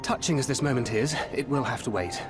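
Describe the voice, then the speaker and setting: Businesslike military man, played by an actor, medium pitch, formal and composed, video game drama with music. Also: fast talker, natural voice. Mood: brisk urgency. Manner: formal, matter-of-fact.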